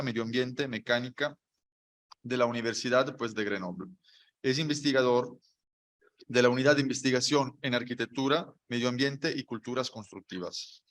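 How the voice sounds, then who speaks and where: A man's voice: mid-range voice, a bit bright. A man hosting an online course session, formally reading out the guests' credentials with occasional brief fillers. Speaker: course host.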